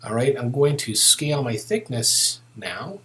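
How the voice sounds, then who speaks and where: A man giving an unscripted step-by-step software tutorial as an instructor, thinking aloud in a casual, methodical way. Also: medium pitch, very animated, a little slow.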